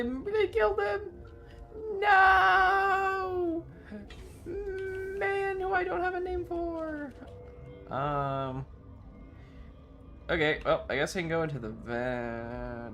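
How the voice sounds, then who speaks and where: A man's voice: very high voice, huge pitch swings, slow pace, creaky, pushed and bright, soft background music. A man giving casual live game commentary and chatting with viewers in a playful, dramatic, sweary way. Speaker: livestreamer. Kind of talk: casual game stream commentary, reacting to chat.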